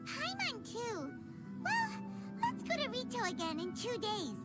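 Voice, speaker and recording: Here is a tiny, chatty fairy companion from a fantasy game. Her voice sits extremely high and swings wildly up and down. She bounces from thought to thought, eager to get moving, with loud game music under her. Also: huge pitch swings, a little slow, exaggerated voice. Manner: chatty, bouncy, refers to herself by name. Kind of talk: game voice acting.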